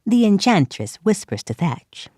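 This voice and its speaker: A woman narrating an audio drama in a calm, measured way. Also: medium pitch, dry recording, studio quality.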